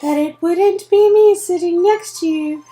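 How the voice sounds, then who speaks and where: A woman voicing an awkward, earnest, nerdy young woman from a story game, reciting formally and haltingly. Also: very high voice, mellow, faint music behind. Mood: awkward confession.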